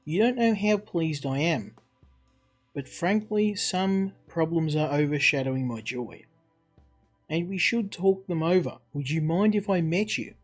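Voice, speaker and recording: Man voicing a male police colonel, formal, courteous, slightly high voice, game dialogue, faint music behind. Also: lively intonation, unhurried. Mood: formal, cordial. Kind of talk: visual novel dialogue read aloud.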